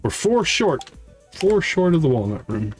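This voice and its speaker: A man's voice: fairly high pitch, very animated, measured pace, darkish tone, soft background music. Man casually narrating his live gameplay. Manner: thinks aloud while playing. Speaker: host of a live game stream.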